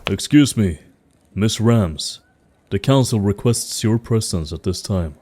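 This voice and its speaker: A male actor plays a dutiful council messenger in a fantasy audio drama. His lowish voice is formal and polite, with slight noise in the recording. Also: lively intonation, unhurried, a little mellow.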